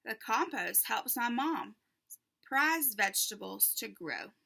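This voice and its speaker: A woman reading a children's story aloud in an expressive, deliberate way meant for young listeners. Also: on the high side, gravelly.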